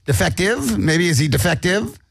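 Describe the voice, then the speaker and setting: Brief: male host, gravelly, wry and sarcastic, laughs a lot, dry studio sound. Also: sits high, sing-song, moderate pace, no room sound. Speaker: podcast host. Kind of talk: casual comedic news chat.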